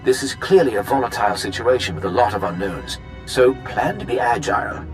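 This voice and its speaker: An actor playing a guarded, dry mission commander in a sci-fi video game. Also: male voice, medium pitch, a little fast, soft background music, natural voice. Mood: grave, businesslike. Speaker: mission briefing officer. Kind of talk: video game cutscene acting.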